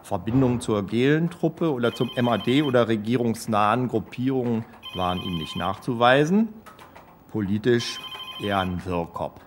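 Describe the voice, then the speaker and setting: An actor playing a guarded, condescending former state security officer, speaking hesitantly and matter-of-factly in a mock documentary interview. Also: male voice, medium pitch, lively intonation, normal speed, a little hiss or hum, close to an everyday voice. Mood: dry, dismissive. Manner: hesitant, dry, matter-of-fact.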